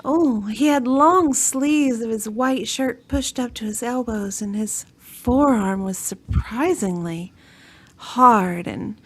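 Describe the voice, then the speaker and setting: A woman reading a novel aloud in a drawn-out, melodramatic way. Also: a little high. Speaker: story reader.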